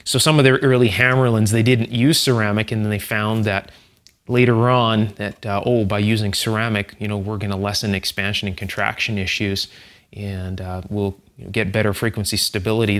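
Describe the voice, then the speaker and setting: A man hosting a hands-on electronics teardown, explaining things in an unhurried, methodical, conversational way. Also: on the low side. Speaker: host, electronics restorer and teacher. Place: indoor electronics workshop, at the bench.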